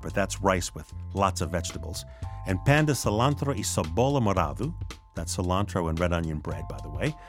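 A man's voice: low voice, expressive, a little fast. A man hosting a radio show, reading a casual outro with self-deprecating asides. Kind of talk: outro announcement. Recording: voice over guitar music.

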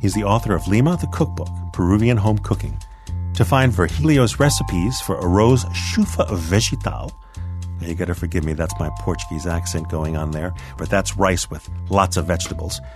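A radio host with a low voice reads his scripted links and adds friendly, jokey asides. His pitch rises and falls a lot. Music plays clearly underneath him.

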